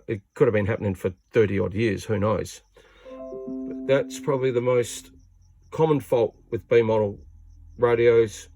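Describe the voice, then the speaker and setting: Aussie bloke doing a casual repair how-to, gravelly voice, really dry close sound, no fuss. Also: male voice, mid-range voice, a little mellow, dry recording. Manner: plain-spoken, matter-of-fact. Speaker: repair tutorial host. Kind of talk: casual hands-on repair tutorial.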